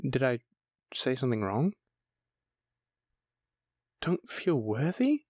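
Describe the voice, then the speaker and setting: A man's voice: medium pitch, very animated, slow pace, a little mellow, no echo. A man playing a bashful, bookish dragon lover in a romantic fantasy audio roleplay, stammering tenderly as he speaks to his sweetheart. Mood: concerned.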